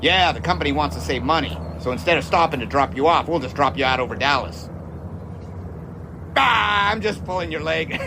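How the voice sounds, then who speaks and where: An actor playing a confident, folksy 1920s American test pilot in an audio drama, jaunty and boastful, with old-fashioned wordy phrasing. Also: male voice, on the high side, expressive, fairly quick, noisy background. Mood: jaunty, teasing. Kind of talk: audio drama dialogue and first-person storytelling.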